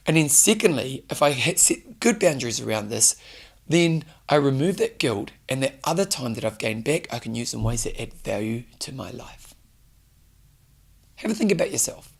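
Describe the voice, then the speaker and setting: Hoarse New man coaching. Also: mid-range voice. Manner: earnest, coaching, direct questions to listener. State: hoarse, losing his voice. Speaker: fitness show host. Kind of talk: solo motivational talk to camera.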